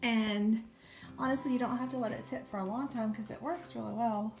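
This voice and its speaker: A woman's voice: on the high side, fairly even intonation, fairly quick, gravelly, a little mellow. A young woman, chatting casually with many ums while she shows how she cleans her kitchen. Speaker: home cleaning vlogger. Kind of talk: casual talk over a cleaning demo. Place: home kitchen, indoors. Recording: music playing under the voice.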